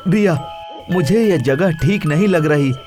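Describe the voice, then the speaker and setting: A man's voice: on the high side, animated, unhurried, music in the background. An actor playing a worried, cautious young man who is protective of his friend in a horror story. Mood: anxious.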